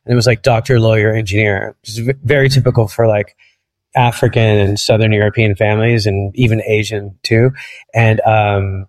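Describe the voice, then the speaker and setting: A man's voice: lowish voice, flat delivery, moderate pace. A man from Egypt, a comedian guest on a podcast, telling stories in a rambling way and laughing at them. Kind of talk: casual interview chat.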